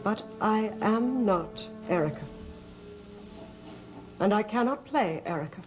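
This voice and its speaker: An actress playing a mysterious, guilt-torn woman in a gothic soap opera, speaking in a theatrical, halting, confessional way. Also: mid-range voice, animated, over music. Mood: anguished, confessional.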